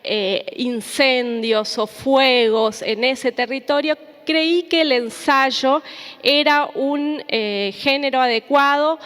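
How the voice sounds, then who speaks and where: A woman's voice: sits high, a bit bright. A woman gives an informal, reflective public talk, telling stories conversationally and checking in with tag questions. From Argentina or Paraguay border region. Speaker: academic, activist and book contributor presenting at a book launch. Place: indoor hall at a public book presentation. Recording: reverberant hall.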